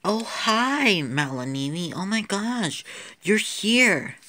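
A woman voicing a giddy, squealing young girl who gushes with excitement in a homemade toy play. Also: medium pitch, slow, pitched above the performer's own voice, exaggerated voice. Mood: thrilled. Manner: shrieking, gushing and breathless with excitement.

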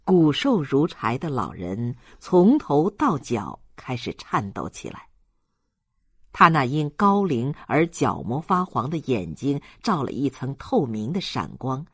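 An audiobook narrator reading a literary story in a measured, dramatic storytelling manner. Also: medium pitch, very animated, a bit bright, slightly rough, no room sound, clean studio sound.

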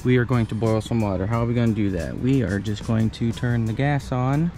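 A man in his thirties giving a relaxed, chatty step-by-step demonstration outdoors at a campsite under a canopy tent. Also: mid-range voice, a little fast. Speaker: vlogger. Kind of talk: casual how-to demo. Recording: background music under voice. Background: music.

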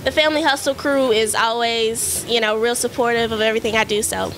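Young woman singer chatting easy and jokey, high bright voice, faint room noise behind. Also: fairly quick, poor audio. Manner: relaxed, joking, many ums. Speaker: performing artist. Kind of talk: casual interview. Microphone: interviewer's handheld mic.

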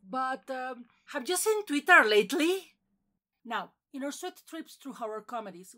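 High-pitched woman giving film commentary. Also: sharp tone. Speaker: video essayist.

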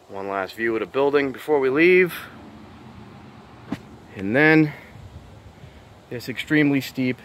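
Guy filming himself wandering ruins, easygoing, chatty, faint outdoor hum behind. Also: medium pitch. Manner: relaxed, marvels aloud, talks to viewers as he walks. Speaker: urban explorer vlogger. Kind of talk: casual walking tour narration. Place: outdoors in overgrown abandoned rail yard.

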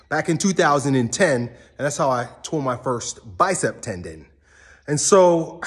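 A man's voice: fairly high pitch, fairly bright tone. A man in his forties talking casually and candidly to his viewers, blunt and loose, with casual swearing. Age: 40s. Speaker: strength coach and channel host. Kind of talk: casual talk to camera.

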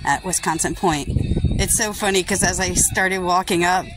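A woman's voice: on the low side, normal speed, poor audio. A woman chatting casually to her phone as she walks outdoors, talking half to herself in short bursts. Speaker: vlogger. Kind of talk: casual chat to camera while walking. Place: outdoors on a windy lakeside breakwater. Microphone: handheld phone camera. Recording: loud wind noise outdoors, phone recording.

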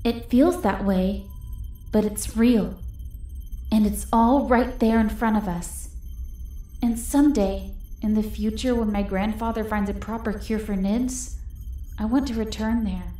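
A woman voicing a gentle, hopeful young girl in an animated comic dub, earnest, soft-spoken and wistful. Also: medium pitch, measured pace, close to an everyday voice. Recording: echoey voice under background music.

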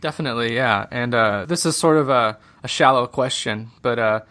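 Easygoing podcast host. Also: male voice, mid-range voice, normal speed, sharp tone. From United States. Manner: friendly, easygoing, laughs often. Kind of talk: scripted intro then casual interview.

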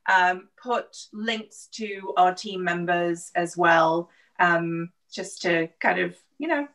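A woman's voice: a little high, bright, forceful tone, recorded from a distance. A middle-aged woman hosting a live online cooking class from her home kitchen, chatty with many fillers and restarts. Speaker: host and team leader. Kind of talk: live video call cooking class introduction. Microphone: laptop or phone camera at a distance.

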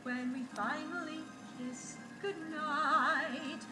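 A woman soprano sings slow, sustained carols in an operatic style. Her voice is high and unhurried, carrying through a reverberant theatre. Loud instrumental music plays under her. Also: fairly even intonation. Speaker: soprano singer. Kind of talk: classical concert singing of carols. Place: large theatre stage. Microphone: far camera in the audience. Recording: roomy theatre sound filmed from the audience. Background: music accompaniment.

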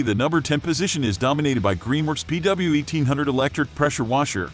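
A man reading a scripted product review voice-over in an upbeat sales-pitch manner. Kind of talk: scripted promotional voice-over narration.

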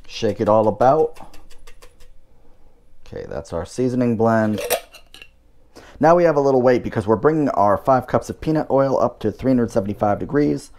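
A man's voice: mid-range voice, expressive, moderate pace, long pauses, a little mellow. A man hosting a home cooking show, talking through each step in a casual way with dry, wry asides.

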